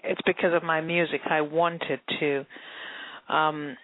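A woman's voice: deep voice, flat delivery, unhurried, slight background noise. A young woman from Nigeria with a mixed Nigerian and British accent, a singer chatting as an interview guest and laughing often. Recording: tinny phone line.

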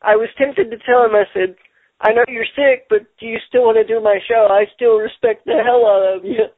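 A man hosting a casual radio show, talking haltingly and repeating words, with many fillers. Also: very high voice, steady tone, no echo. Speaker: show host. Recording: tinny phone-line sound.

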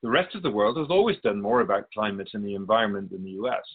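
A man playing a wise, wry otherworldly spirit guide in a radio drama interview, measured and philosophical. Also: fairly low pitch, breathy edge, phone-quality sound. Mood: grave. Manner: measured, philosophical, gently admonishing.

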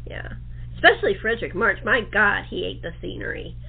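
A woman's voice: mid-range voice, animated, brisk, creaky, slightly rough. Woman, talk show host. Casual, chatty, rambling interview style; tinny, band-limited sound.

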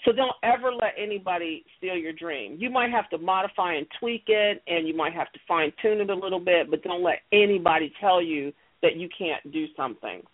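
A woman's voice: lowish voice, a little fast, few pauses. Middle-aged woman on a muffled phone line. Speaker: interview guest, audio theater creator and company leader. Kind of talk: interview answers, explaining her success strategies. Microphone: telephone or call connection.

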